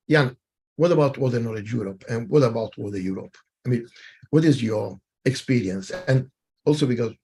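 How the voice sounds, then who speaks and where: A man's voice: mid-range voice, creaky, darkish tone, slightly rough. A man moderating a webinar, presenting with many fillers and restarts over a video call.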